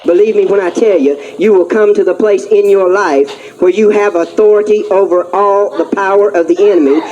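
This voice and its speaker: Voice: mid-range voice, moderate pace, dark tone, dull audio, a little hiss or hum. A preacher delivering a fervent sermon, emphatic and repetitive, with rousing call-outs to the congregation.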